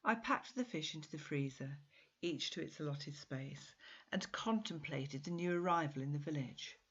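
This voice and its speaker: A woman author reading her own short story in an expressive, measured, dramatic literary narration. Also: sits low, slightly rough, muffled recording.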